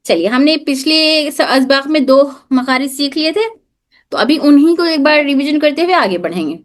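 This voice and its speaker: A woman with a high-pitched voice teaches a live religious recitation lesson. She explains step by step, asking questions and repeating the answers to drill them in. English words are mixed into her explanations. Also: expressive, normal speed. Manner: repetitive, drilling key points with questions; mixes English words into Hindi speech.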